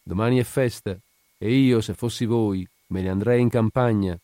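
A man's voice: on the low side, normal speed, dark tone, no room sound, studio quality, lightly acted. Man voicing a sighing, pious widow. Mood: wry, weary. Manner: sighing, exclamatory, homely. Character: pious, sighing widow, a gossipy evasive neighbour woman. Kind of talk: dramatic audiobook character reading.

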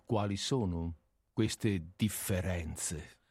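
A sardonic, grave intellectual in a radio play. He speaks slowly in a lowish voice, with terse and scornful questions. The sound is dry, with no room around it. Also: a little mellow, husky, dry recording, full character voice. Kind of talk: radio drama dialogue.

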